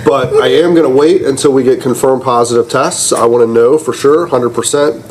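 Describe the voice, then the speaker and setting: Blunt male judge over clear background noise. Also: medium pitch, steady tone, brisk. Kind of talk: courtroom ruling from the bench. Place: indoor courtroom. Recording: noisy courtroom recording.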